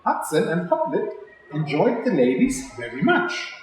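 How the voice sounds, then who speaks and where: A man's voice: fairly high pitch, wildly swooping pitch, measured pace, a crowd in the background. A man reading a children's story aloud, speaking directly and expressively to young listeners. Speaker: executive chef reading a children's story for a charity. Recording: very echoey room, faint background noise.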